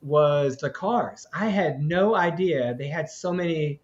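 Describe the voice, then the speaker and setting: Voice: mid-range voice, slow pace. A host of a live interview stream, telling stories in a rambling way, full of fillers. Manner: rambling, filler-heavy storytelling. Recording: slightly dull lo-fi audio.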